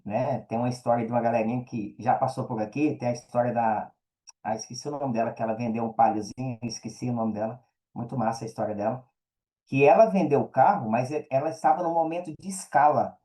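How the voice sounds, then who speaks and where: A Brazilian man with a mellow, mid-pitched voice talks as a guest in a casual interview. His speech is slangy, and he keeps checking in with the listener. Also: rough recording. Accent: Brazilian Portuguese. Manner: slangy, peppers talk with check-in tags. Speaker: podcast guest, affiliate marketer.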